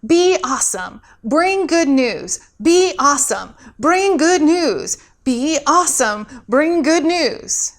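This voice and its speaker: A woman's voice: high-pitched, expressive, slow, few pauses, voice pushed up, heavily acted. A woman voicing a young non-binary novice angel, earnest and unsure, with a hesitant manner, in a read-aloud story. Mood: self-coaching.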